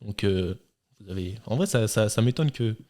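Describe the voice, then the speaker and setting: A man's voice: on the low side, animated, moderate pace, a little mellow. A man hosting a casual quiz segment, talking with many hesitations and fillers. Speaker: quiz host. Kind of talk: casual chat.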